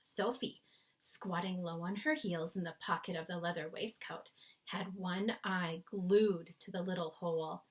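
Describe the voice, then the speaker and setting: A woman's voice: on the low side, steady tone, a little slow. A woman reading a children's story aloud as scripted narration. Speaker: storybook reader.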